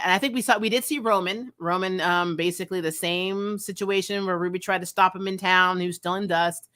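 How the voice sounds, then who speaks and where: A woman hosting a casual review, talking in an animated, rambling way as she thinks aloud. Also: lowish voice. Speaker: host of an anime review channel. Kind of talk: casual review chat to camera.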